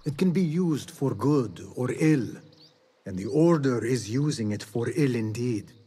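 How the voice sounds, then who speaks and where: An actor playing a guarded, determined young assassin in dramatic video game dialogue. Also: male voice, medium pitch, animated, unhurried, dark tone, slightly rough. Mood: grave. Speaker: game protagonist. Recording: music behind the voice.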